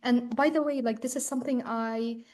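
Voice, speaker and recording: A young woman from Ukraine speaking as a guest, earnest and personal, often filling pauses with "like". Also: a little high, steady tone, darkish tone. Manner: earnest, frequent "like" fillers.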